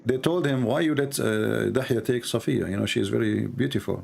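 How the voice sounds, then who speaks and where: A man's voice: medium pitch, racing, creaky, darkish tone, a little hiss or hum. A man with an Arabic accent hosting a live call-in debate, insistent and often asking for agreement. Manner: insistent, repeats points, asks for agreement. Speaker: show host.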